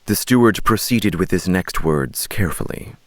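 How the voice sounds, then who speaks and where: A man's voice: deep voice, animated, normal speed, a bit bright, no room sound. A man narrating an audiobook story in a scripted storytelling style.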